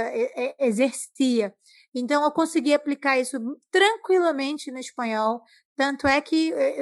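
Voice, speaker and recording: A Brazilian woman with a high voice talks casually in a very dry-sounding recording. She is chatty and likes to tell things by example. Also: no echo. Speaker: talk participant. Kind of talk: casual chat.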